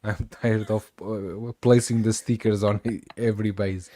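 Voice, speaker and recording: A man in his forties hosts a relaxed livestream, reading viewer chat aloud and trailing into restarts and easy laughter. His voice sits low and mellow. The sound is dry and close. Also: no room sound. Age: 40s. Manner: halting, many restarts, reads chat aloud, laughs often. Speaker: host. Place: Australia.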